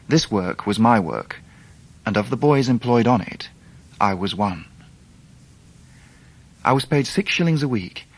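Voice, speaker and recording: An actor playing a grown man recalling his boyhood, narrating a literary radio drama in a measured British voice. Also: fairly low pitch, breathy edge. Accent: British English. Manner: measured, reflective.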